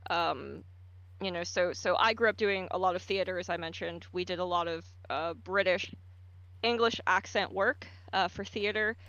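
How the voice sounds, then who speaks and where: A woman's voice: lowish voice, normal speed, fairly bright tone, no room sound, muffled recording. A woman voice actor giving casual advice on a livestream, explaining at length with frequent ums and stammered restarts. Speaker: voice actor guest.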